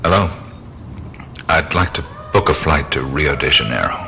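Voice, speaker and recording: A man playing a wry, uneasy adventurer in a radio drama, muttering halting reactions. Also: a bass voice, slight background noise. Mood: calm. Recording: voice over loud music bed.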